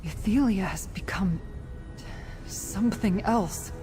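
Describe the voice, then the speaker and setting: A woman's voice: medium pitch, slow, close to an everyday voice. A woman voicing a grave, comradely warrior in a fantasy video game. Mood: grave, hushed. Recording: loud game music over voice.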